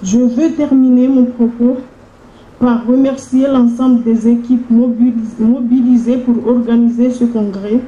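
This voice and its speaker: Woman, official welcoming a conference. Very dark, mellow tone, measured formal delivery, roomy muffled recording. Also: on the high side, fairly even intonation. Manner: solemn, deliberate, in short measured phrases. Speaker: mayor giving a welcome address. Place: large room at a public conference. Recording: dull, echoey conference hall PA sound.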